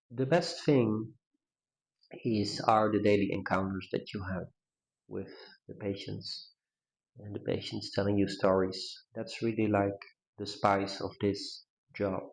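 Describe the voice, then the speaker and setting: A man in his forties with a Dutch accent talks through his answers as an interview guest, slowly and carefully, stopping often to restart a thought. His voice sits low and has a noticeable gravelly fry. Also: level tone, rough recording. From Netherlands. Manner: measured, with frequent pauses and restarts. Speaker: neurologist guest.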